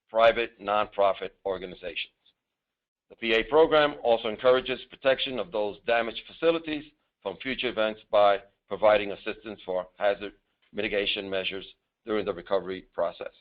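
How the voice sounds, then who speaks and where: A man giving a formal, mostly scripted presentation as a government official on a conference call, reading deliberately in short, halting phrases. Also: medium pitch. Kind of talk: formal scripted presentation with some improvised asides. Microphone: telephone. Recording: narrow tinny phone conference line.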